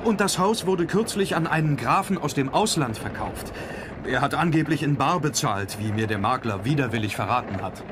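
An actor playing a devoted, anxious gentleman hunting a vampire, grave and intense, in a German audio drama. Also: mid-range voice, lively intonation, moderate pace, noisy background, natural voice.

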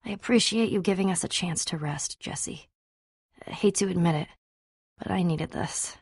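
Casting: tough young game heroine, blunt, loyal and wry, husky with a gravelly edge, dry close recording. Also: female voice, on the low side, normal speed, darkish tone, no room sound. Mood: uneasy, confiding. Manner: blunt, casual, earnest. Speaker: game voice actress. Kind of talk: animated adventure game dialogue.

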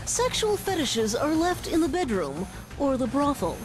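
Game news anchor, high pitch, polished, straight-faced, lilting, music behind. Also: female voice, moderate pace. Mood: mock serious. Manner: deadpan newsreader delivery. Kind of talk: parody news report in video game.

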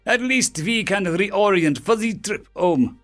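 A man's voice: high-pitched, lively intonation, takes it slowly, soft background music, voice pushed up. A man voicing a fussy male otter engineer. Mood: proud.

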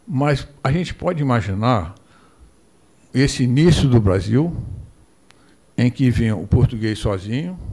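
An older Brazilian man giving a measured history lecture from a lectern microphone in a lecture hall, posing rhetorical questions and asking for the next slide. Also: mid-range voice, vocal fry, mellow. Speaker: guest lecturer. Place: lecture hall with projector screen, indoors.

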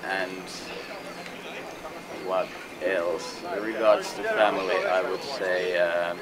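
A young Finnish-accented man talks slowly and easily, leaving pauses between his thoughts. His voice sits a little low. A loud crowd hums behind him. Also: steady tone. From Finland. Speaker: sailing master. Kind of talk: self-introduction to camera. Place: outdoors on a yacht in a marina.

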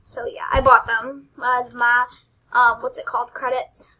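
A young woman with a high, squeaky voice talks over her gameplay at a desktop mic. She rambles, giggles and mocks herself as she goes, often trailing off into quiet asides. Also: little pitch movement, a little slow, rough recording. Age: teens. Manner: rambling, giggly, self-deprecating. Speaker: gaming channel host. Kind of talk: casual gameplay commentary.

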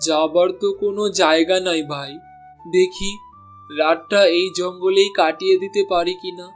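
An honest, hard-up village butcher and husband from a cartoon, acted by a man. He talks humbly and earnestly, with soft music playing behind him. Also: sits high, fairly even intonation, normal speed, natural voice. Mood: dejected. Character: honest, downtrodden village meat seller, a husband. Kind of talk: Bengali cartoon dialogue.

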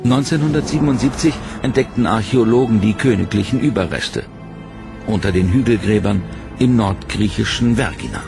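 A man giving scripted documentary narration in a measured, dramatic storytelling manner. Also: lowish voice, animated, gravelly. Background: loud dramatic music.

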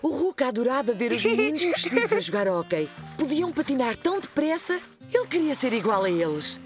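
Expressive cartoon storyteller, European Portuguese. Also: female voice, high voice, brisk, over music. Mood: storytelling. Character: gentle woman storyteller of a preschool cartoon. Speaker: narrator. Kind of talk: scripted children's storytelling narration.

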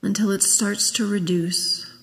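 A woman minister leading a guided meditation, speaking gently and in a measured way with long pauses. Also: medium pitch, steady tone. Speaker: minister leading a memorial service. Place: large church sanctuary, at a pulpit. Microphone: gooseneck pulpit microphone. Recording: long echo of a church hall.

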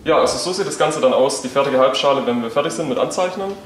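A man's voice: medium pitch, fast. A man in his twenties explains a step of his craft in a workshop. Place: indoor workshop. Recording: echoey workshop with faint noise.

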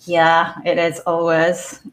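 A woman's voice: lowish voice, completely flat delivery, takes it slowly. A middle-aged woman from Japan, a native Japanese speaker with a Japanese accent, giving a chatty live-stream tech talk full of fillers and self-corrections over a video call from home. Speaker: guest developer speaker. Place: home room, indoors. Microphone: video call webcam.

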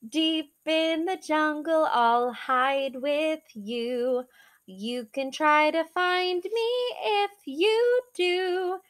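A young woman reading a children's picture book aloud in an animated, drawn-out, singsong way, like a teacher talking to small children. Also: high voice. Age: young adult. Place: small living room, seated in an armchair indoors.

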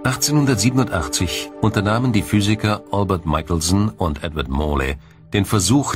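A man reading scripted science documentary narration in a measured, explanatory way. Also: sits low. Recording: music behind the narration. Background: background music.

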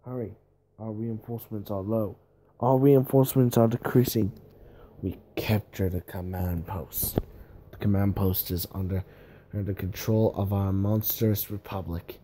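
A man voicing an urgent army commander in halting, repetitive, improvised game-style battle lines. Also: on the low side, fairly even intonation, dark tone, slight background noise, close to an everyday voice. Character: urgent male army commander barking orders at troops.

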